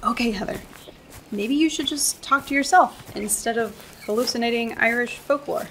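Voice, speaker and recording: A woman's voice: fairly high pitch, moderate pace, a bit bright, a little hiss or hum. A young woman with an American accent in a radio play, acting a sarcastic, exasperated hiker. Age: young adult. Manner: sarcastic, incredulous.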